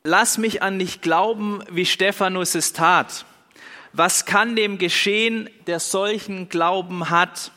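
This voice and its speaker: Bright, forceful young preacher, man. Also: high voice, steady tone, slow pace. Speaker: pastor. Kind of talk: sermon. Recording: long hall reverb.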